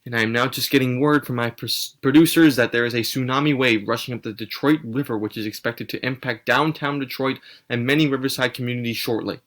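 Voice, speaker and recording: A man's voice: mid-range voice, fairly even intonation, fast talker, few pauses, a bit bright, a little hiss or hum, lightly acted. A man playing a shaken local TV news anchor, hesitant and uneasy as he reports in a fictional disaster broadcast.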